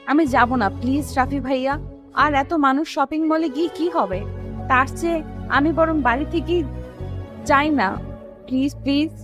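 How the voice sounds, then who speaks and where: A woman's voice: high voice, unhurried, long pauses, music in the background. A woman playing a sulky, lovelorn young woman, pleading and reluctant, in an audio story. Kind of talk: audio story drama acting.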